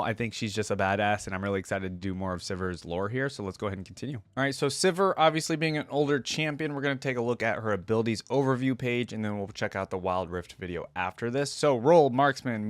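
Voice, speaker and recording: A man's voice: mid-range voice, fast talker, hardly any pauses, dry recording. A man reading game lore aloud and commenting on it as an enthusiastic, casual fan. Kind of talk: expressive story reading mixed with casual reaction commentary.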